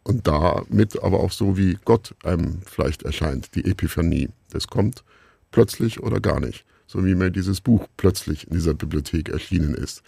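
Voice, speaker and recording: A middle-aged man giving reflective answers as an interview guest, musing and haltingly searching for words. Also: deep voice, vocal fry, mellow, slightly rough, dry recording, clean studio sound. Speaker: interview guest, radio play maker.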